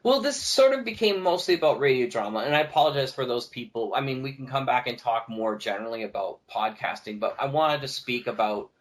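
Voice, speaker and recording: A man talking casually as a podcast guest, a teacher who tells stories in an animated way and acts out what people say. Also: mid-range voice, brisk, hardly any pauses. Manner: animated storyteller, acting out quoted remarks. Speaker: podcast guest, teacher and audio drama producer. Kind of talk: casual conversational interview talk.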